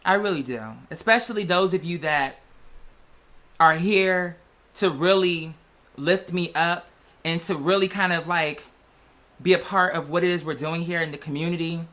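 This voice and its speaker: Bright, higher-voiced man chatting earnestly. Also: moderate pace. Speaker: vlog host. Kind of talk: casual, affectionate chat.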